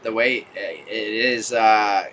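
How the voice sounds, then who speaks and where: Man, Canadian accent, lowish voice, livestream host, casual bro-heavy banter, faint ambient noise behind. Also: drawn-out words, a bit bright. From Toronto, Canada. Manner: slangy, joking banter, says bro and man often. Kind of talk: casual livestream chat with viewers. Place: small warehouse space stacked with goods.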